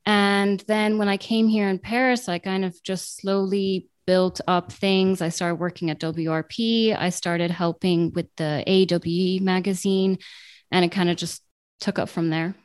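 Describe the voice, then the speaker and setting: A woman's voice: fairly low pitch, steady tone, unhurried, smooth, no room sound. Late-20s woman, rambly friendly guest answers, lots of ums, dead dry mic. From born in Italy, raised in Italy, the US and Ireland. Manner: chatty, with frequent ums and self-corrections. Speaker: guest, translator and radio journalist. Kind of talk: casual interview answers.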